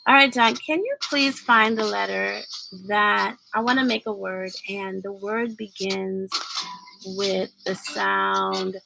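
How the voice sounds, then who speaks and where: A woman's voice: mid-range voice, steady tone, slow pace, vocal fry, noisy background. A woman hosting a casual livestream as a children's reading teacher, encouraging and instructive as she talks. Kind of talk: casual livestream chat and phonics lesson with a child. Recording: music playing over the voice. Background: music.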